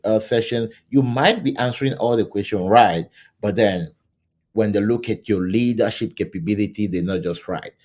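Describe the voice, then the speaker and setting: A man's voice: lowish voice, normal speed, a little mellow. A middle-aged man from Cameroon coaching to camera on job interviews, talking loosely and often checking in with "right?". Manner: conversational, repeats "right?" and restarts often. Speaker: scrum coach. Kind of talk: teaching to camera. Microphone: camera mic, facing camera.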